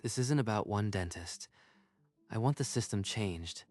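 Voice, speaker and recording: A decisive executive with a low, steady voice, as a man acts him out. He speaks firmly and in measured phrases, with music under him. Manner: firm, measured. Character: calm, decisive male executive.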